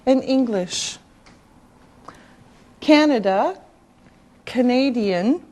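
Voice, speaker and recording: A woman teaching English to beginners, enunciating carefully and deliberately in a scripted lesson. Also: a little high, a little mellow.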